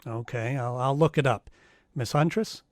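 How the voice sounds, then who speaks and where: A man plays a hardboiled detective in a noir radio play. He is wry and casual, with clipped wisecracks and a medium pitch. The sound is dry, with little room around it. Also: normal speed, no room sound, close to an everyday voice. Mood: dry, businesslike. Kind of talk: noir radio drama narration and dialogue.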